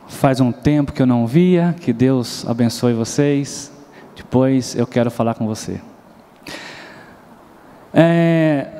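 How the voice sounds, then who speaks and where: A Brazilian man preaching in a church, his mellow, fairly high voice ringing out in a long hall reverb. He tells stories, asks the congregation questions and sings snatches of nursery rhymes. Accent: Brazilian Portuguese. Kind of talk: sermon.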